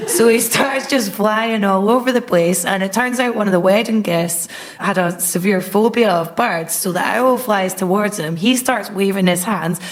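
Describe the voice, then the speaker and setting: A woman's voice: mid-range voice, moderate pace, few pauses. A woman comedian on a panel show, telling comic anecdotes in a conversational way. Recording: noisy live comedy show recording. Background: audience laughter.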